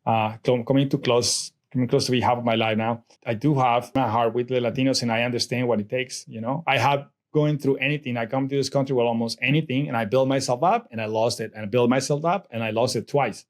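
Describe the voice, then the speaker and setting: A man's voice: medium pitch, fairly even intonation, quick pace, hardly any pauses. A middle-aged man from Colombia with a Colombian Spanish accent, a native Spanish speaker talking as an interview guest in a rambling way with many restarts and fillers. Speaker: guest, real estate investor. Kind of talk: casual interview chat.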